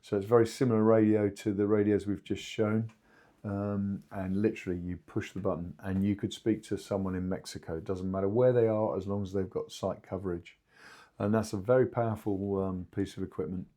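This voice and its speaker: Man, very low pitch, mellow tone, halting explanatory delivery, informal podcast discussion. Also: little pitch movement. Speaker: two-way radio company spokesman.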